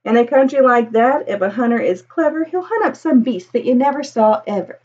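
An American woman reads a children's picture book aloud in a lively, swooping storyteller's voice. It sits a bit high and has a husky edge. The sound is slightly dull, as from a webcam. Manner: animated storytelling with drawn-out emphasis. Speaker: elementary school teacher reading aloud. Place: small room at home with bookshelf.

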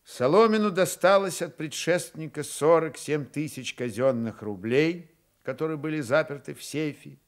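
Casting: male storyteller for radio theatre, expressive, higher-than-average voice with lively melodic swings. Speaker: narrator. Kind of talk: dramatized literary narration for radio.

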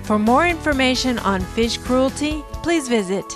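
Woman narrator, soft slow careful reading, fairly high voice, music under it. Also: expressive. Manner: gentle, measured, deliberate delivery. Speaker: program narrator. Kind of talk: slow scripted documentary narration.

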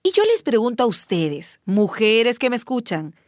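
A woman's voice: fairly high pitch, very animated, normal speed, dry recording, clean studio sound. A woman playing a frank, playful doctor who hosts a sex-advice radio show, chatty and emphatic. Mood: teasing, frank. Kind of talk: radio drama advice programme.

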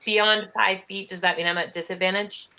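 Young woman player on a video call, bright voice, kinda hesitant, talks her moves out loud. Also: medium pitch, steady tone, normal speed, low-quality recording. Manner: hesitant, thinks aloud. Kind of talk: casual tabletop game play.

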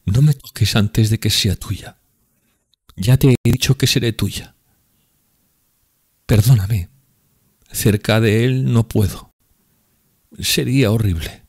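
A man voicing a drunk, impassioned young woman in an audiobook, speaking in a raised, breathy, pleading tone. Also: fairly low pitch, takes it slowly, long pauses, a little mellow, voice pushed up, heavily acted. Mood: earnest. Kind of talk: audiobook character reading.

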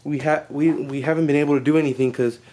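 A man's voice: on the high side, steady tone, fast, warm tone. A young man talking to a handheld camera at home in a joking, teasing way. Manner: joking, teasing, laughs often. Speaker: vlogger. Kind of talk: casual vlog chat. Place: small house rooms, indoors. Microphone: handheld camera at arm's length.

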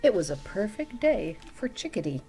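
A middle-aged woman reading a picture book aloud as a storytime reader, with chatty asides and expressive, drawn-out emphasis. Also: medium pitch, faint music behind. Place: small room at home.

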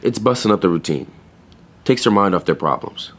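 A young man working in a pet store, in an audio drama. His voice sits fairly low. He talks in blunt slang with hesitant turns, guarded yet earnest. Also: expressive, fairly quick. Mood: matter-of-fact. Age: young adult. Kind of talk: naturalistic audio drama acting.

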